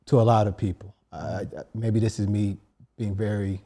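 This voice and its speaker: A nervous man hosting an interview podcast, talking in a hesitant, halting, soft-spoken way. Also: fairly low pitch, fairly even intonation. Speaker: podcast host. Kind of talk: interview conversation.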